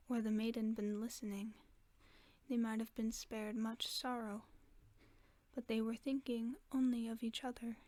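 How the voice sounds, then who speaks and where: A woman reading a fairy tale aloud as a sleep aid, in a soft, mostly unvoiced whisper close to the microphone. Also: fairly high pitch, dry recording. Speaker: ASMR storyteller. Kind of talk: whispered ASMR bedtime story reading.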